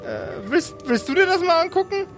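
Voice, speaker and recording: A man's voice: very high voice, sing-song, moderate pace, fairly bright tone, soft background music, voice pushed up, exaggerated voice. A man voicing a hesitant, coaxing young woman in an improvised role-play audio story.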